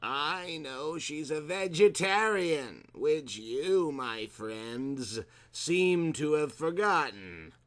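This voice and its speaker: A man voicing a confident, seductive male creature in a melodramatic story, with a slow suggestive drawl. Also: on the high side, lively intonation, a bit bright, exaggerated voice. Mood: menacing.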